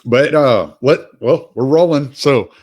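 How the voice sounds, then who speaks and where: A man's voice: medium pitch, slow pace, a little mellow. A man, hosting a casual interview in an easygoing, rambling way. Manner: easygoing, rambling, chuckles often. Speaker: podcast host.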